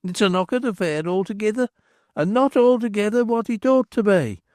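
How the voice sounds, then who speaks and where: A man's voice: high voice, moderate pace, a little mellow, no echo, clean studio sound, voice pushed up, close to an everyday voice. A man voicing a bustling rustic male town crier who blusters and calls out in a sing-song way. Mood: gossipy.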